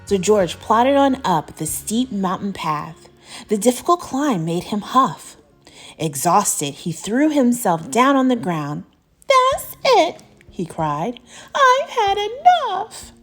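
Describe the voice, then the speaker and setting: A woman reading aloud as a teacher, telling a children's story in an expressive, deliberate way. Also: mid-range voice, husky, faint music behind. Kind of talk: animated children's storybook narration.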